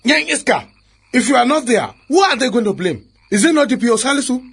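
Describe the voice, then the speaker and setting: An actor playing an exasperated, authoritative police officer in a radio drama, with a Nigerian accent and a husky, emphatic delivery. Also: male voice, very high voice, big pitch swings, fast talker, fairly bright tone, exaggerated voice. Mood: irritated, commanding. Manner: emphatic, commanding, argumentative.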